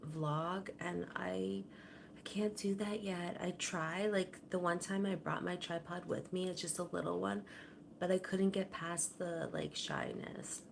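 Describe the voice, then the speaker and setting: Soft-spoken woman with vocal fry. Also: lowish voice, lively intonation, a little slow, slight background noise. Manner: soft-spoken, rambling, trails off quietly. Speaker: livestream host. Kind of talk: casual chat to viewers. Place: home kitchen. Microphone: camera on a tripod.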